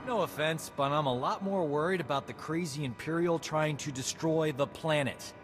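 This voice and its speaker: A man's voice: on the high side, normal speed, soft background music, lightly acted. An actor playing an anxious, blunt man in a video game, in a reverberant space. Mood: worried. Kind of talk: dramatic video game voice acting. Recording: long hall-like reverb.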